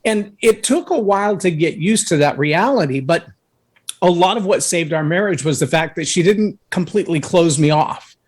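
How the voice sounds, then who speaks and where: A man guesting on a talk show, telling a personal story in an earnest, anecdotal way, with a voice that can boom when he raises it. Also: animated, moderate pace, breathy edge. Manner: earnest, anecdotal, acts out others' words. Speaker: guest speaker.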